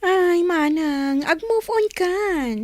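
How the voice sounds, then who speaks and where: A woman's voice: extremely high pitch, animated, extremely slow, darkish tone, dry recording, full character voice. A woman with an Ilocano accent playing an exasperated younger sister in a radio drama, scolding and urging emphatically. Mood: exasperated advising.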